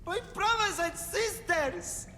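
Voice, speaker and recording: A man's voice: very high voice, slow pace, long reverb tail, background noise. An actor playing an eccentric, grandiose man who proclaims himself a god, in a game cutscene. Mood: ecstatic. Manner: grandiose, theatrical, manic. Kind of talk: video game cutscene acting. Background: orchestral game music.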